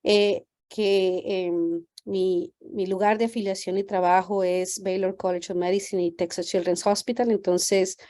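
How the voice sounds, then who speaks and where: A woman's voice: mid-range voice, little pitch movement, unhurried, hardly any pauses, dark tone. A woman physician welcoming parents on a video call, speaking hesitantly with many fillers. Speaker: pediatric geneticist giving a talk.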